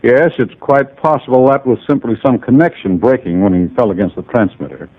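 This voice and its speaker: An actor playing a precise, self-assured inventor in a 1950s radio drama, measured and a little formal. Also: male voice, mid-range voice, lightly acted. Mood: composed. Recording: lo-fi old radio broadcast.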